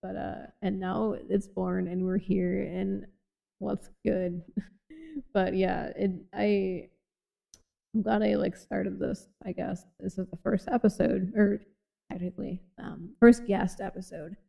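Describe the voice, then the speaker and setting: A woman's voice: mid-range voice, little pitch movement, slow, vocal fry, lo-fi sound. A young woman from Boston with a light Boston accent, hosting a casual podcast chat and rambling with many fillers. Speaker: podcast host.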